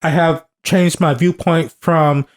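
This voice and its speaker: Earnest man in his thirties, fairly high voice. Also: steady tone, slow. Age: 30s. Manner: earnest, repeats himself for emphasis. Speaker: podcast co-host. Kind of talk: casual podcast conversation.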